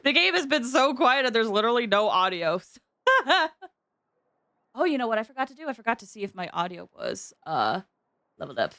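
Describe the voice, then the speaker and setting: A woman's voice: fairly high pitch, very animated, brisk, sharp tone, no room sound, clean studio sound. A woman hosting a game livestream, chatting casually and informally with viewers, often drifting into asides.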